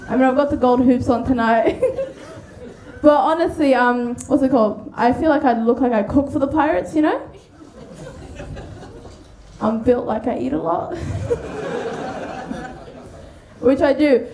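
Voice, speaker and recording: Giggly, high-pitched woman doing stand-up. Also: animated, slow, pauses often, dark tone, some chatter behind. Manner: giggly, laughs through her own lines. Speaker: stand-up comedian. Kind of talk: stand-up storytelling. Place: on stage in a comedy venue. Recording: echoey live comedy venue. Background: light audience noise.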